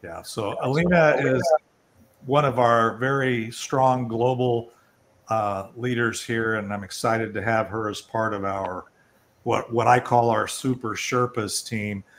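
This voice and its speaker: A man's voice: mid-range voice, steady tone, measured pace, fairly bright tone. An older man hosting an online networking event, talking informally and rambling a little as he presents and takes questions. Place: online video call.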